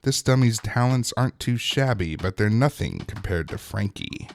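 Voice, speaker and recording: A man's voice: on the low side, lively intonation, normal speed, a little hiss or hum. A man narrating a casual, colloquial recap as host, with wry, joking asides. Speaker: host of a TV episode recap show.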